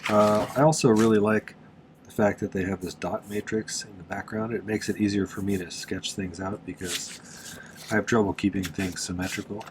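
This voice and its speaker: A man with a slightly nasal, congested voice giving a relaxed, chatty how-to lesson on a craft in his workshop, with plenty of "uh" fillers. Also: lowish voice, moderate pace, noisy background. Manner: relaxed and chatty, with frequent "uh" fillers and small laughs. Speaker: silversmith teaching on his channel. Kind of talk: casual how-to narration.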